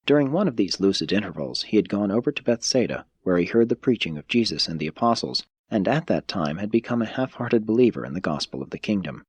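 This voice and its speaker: Deep, husky male audiobook narrator. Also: very animated, quick pace, few pauses, no room sound. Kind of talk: scripted narration of a religious text.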